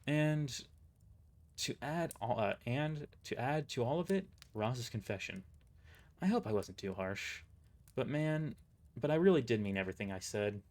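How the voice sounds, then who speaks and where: A man's voice: medium pitch, lively intonation, a little slow, a little mellow. A man narrating an audio drama in the first person, as scripted storytelling.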